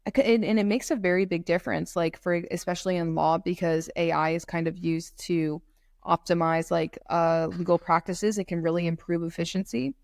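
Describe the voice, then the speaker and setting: A woman's voice: fairly low pitch, steady tone, a little fast, gravelly, darkish tone, no echo, clean studio sound. A twenty-year-old woman from North Carolina, a law student guest, talking casually on a podcast, rambling with many "like" fillers over her computer mic. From North Carolina, USA. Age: 20s. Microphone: computer mic, remote call.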